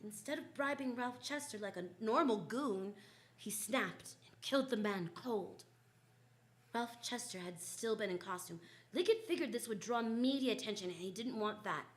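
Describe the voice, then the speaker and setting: A confident female private detective from a 1940s radio mystery, played by an actress. Her voice sits a little high. She tells the story with wry, wisecracking assurance. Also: expressive, normal speed, fairly bright tone. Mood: storytelling. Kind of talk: 1940s radio detective drama, first-person narration.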